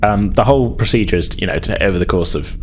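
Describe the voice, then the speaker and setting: A man with a low-pitched voice runs a tabletop game, talking through rules and outcomes in a conversational, hesitant way. He thinks aloud as he explains, over clearly audible background noise. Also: animated, fast talker. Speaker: game master. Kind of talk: running a tabletop role-playing game, conversational.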